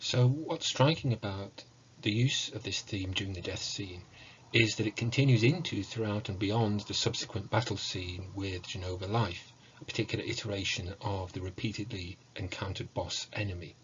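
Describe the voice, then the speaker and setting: A man with a fairly low voice delivers an academic lecture. His phrasing is formal and measured, like prepared scholarly material. The recording is a little dull, with faint noise behind him. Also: a bit bright. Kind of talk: academic lecture on video game music. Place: book-lined room at home, indoors.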